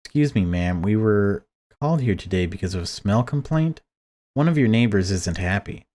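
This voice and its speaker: A man's voice: lowish voice, lively intonation, moderate pace, mellow, natural voice. A man voicing a polite, gullible police officer with a casual manner in a visual novel. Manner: courteous, casual.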